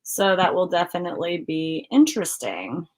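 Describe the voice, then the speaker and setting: A woman's voice: lowish voice, sing-song, normal speed. A woman co-hosting a book livestream, chatting casually in a rambling, informal way. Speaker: co-host of a book discussion livestream.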